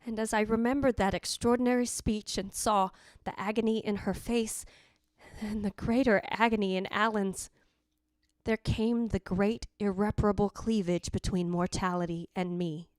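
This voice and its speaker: A woman's voice: medium pitch, steady tone, a little mellow, no echo, close to an everyday voice. A woman playing the jealous, anguished ghost of a dead wife, narrating in a literary, theatrical old-time radio drama style. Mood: sorrowful. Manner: literary, theatrical, deliberate delivery.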